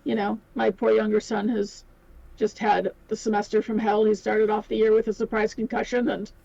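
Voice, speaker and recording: A woman's voice: mid-range voice, level tone, moderate pace, gravelly, mellow, no room sound, highs cut off. A middle-aged woman, chatting casually as a podcast guest. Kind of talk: casual chat.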